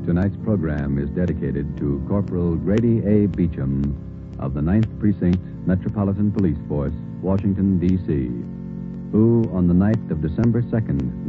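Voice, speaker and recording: An American man announcing a 1940s radio programme from a script, measured and solemn. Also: sits low, phone-quality sound. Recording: old radio recording, music behind.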